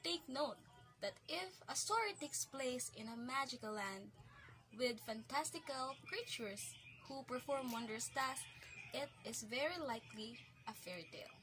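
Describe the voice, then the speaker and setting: A woman's voice: sits high, lively intonation, measured pace. A woman student presenter delivers a scripted lesson, with faint noise in the recording.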